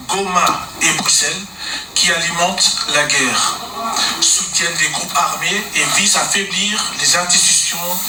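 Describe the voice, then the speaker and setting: A Dutch-speaking man with a Flemish accent, reading a prepared political statement with indignation. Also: high-pitched. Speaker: speaker at a press conference. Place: meeting room in Brussels. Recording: echoey room from far off, loud noise.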